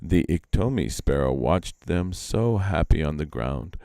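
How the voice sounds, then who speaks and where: A man narrates a storybook tale aloud with expression. His voice sits low and has a creaky, gravelly edge. The recording is dry, with little room sound. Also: expressive, a little slow, dark tone, no echo. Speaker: storyteller, reader.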